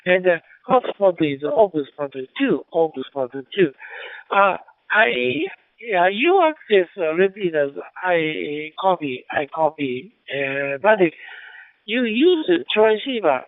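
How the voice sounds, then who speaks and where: A man with a Japanese accent speaking halting, polite English as an amateur radio operator over a digital two-way radio, often repeating himself. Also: high voice, sing-song, no echo. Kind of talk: formal radio contact exchange. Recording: tinny narrowband digital radio transmission.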